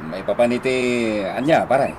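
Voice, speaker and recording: A man's voice: medium pitch, a little slow, close to an everyday voice. An actor playing a blunt friend who scolds his jobless pal in a preachy, emphatic way in a comedy radio drama. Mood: reproachful. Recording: clearly noisy ambience behind.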